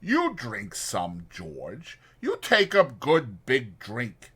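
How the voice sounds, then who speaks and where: A man's voice: medium pitch, wildly swooping pitch, very slow, a bit bright, slightly rough, heavily acted. A man voicing a huge, simple-minded man with a happy, childlike manner and broken country grammar, for a dramatized audiobook. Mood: happy, contented.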